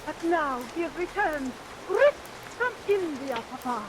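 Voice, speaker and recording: A woman's voice: sits high, very animated, a little slow. A woman playing a fretful, lovelorn young daughter in a vintage radio melodrama, plaintive and genteel. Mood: anxious, pleading. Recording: vintage radio recording, hissy rain noise.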